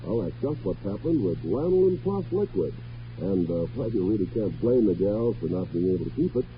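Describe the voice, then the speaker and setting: American guy doing old 50s radio ad pitch, folksy n punchy, really animated, muffled recording. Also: a little fast, slight background noise. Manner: folksy, emphatic salesmanship. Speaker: radio announcer. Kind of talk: 1950s radio sponsor pitch. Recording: dull vintage radio recording with music.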